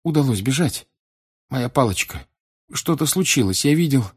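A man voicing a frightened, agitated teenage boy wizard in an audiobook, speaking in urgent, halting exclamations. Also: mid-range voice, expressive, husky, voice pushed up. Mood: shaken. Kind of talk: audiobook character acting.

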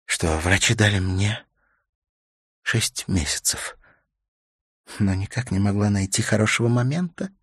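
A man's voice: on the low side, a little slow, pauses often, a bit bright, slightly rough, no room sound, clean studio sound, pitched above the performer's own voice. A man voicing a grieving, ailing older woman in an audiobook, bitter, tearful and pleading. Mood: weak despair.

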